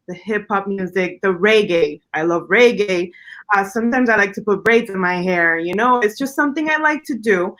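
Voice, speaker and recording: A woman's voice: fairly high pitch, fairly bright tone. A woman from Venezuela with a Spanish-tinged accent, hosting a casual roundtable chat over a video call. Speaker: host.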